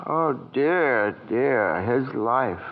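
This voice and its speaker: An actor playing a meek, eager, centuries-old angel in a 1940s radio drama, speaking hesitantly and politely. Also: male voice, mid-range voice, animated, very slow. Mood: dismayed. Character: meek, eager angel over two hundred years old. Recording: noisy, dull 1940s radio recording.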